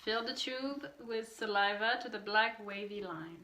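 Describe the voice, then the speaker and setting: A young woman from France co-hosting a couple's vlog, explaining her results in a calm, measured way. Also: mid-range voice, recorded from a distance. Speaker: vlog co-host. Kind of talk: casual chat. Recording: echoey room, off-mic.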